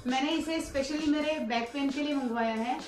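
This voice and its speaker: A young woman talks in a high voice, explaining things casually like a home reviewer. The room adds noticeable echo, and music plays behind her. She slips English words into her instructions. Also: moderate pace. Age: young adult. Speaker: vlogger reviewing a product. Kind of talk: casual unboxing and review talk to camera. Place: living room at home, indoors.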